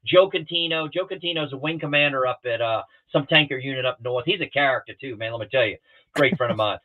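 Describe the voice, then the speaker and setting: A man telling rambling, animated pilot stories on a talk show, with many restarts and acted-out quotes, heard over a remote call. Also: medium pitch, quick pace. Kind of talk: casual storytelling on a talk show. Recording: tinny phone-like line.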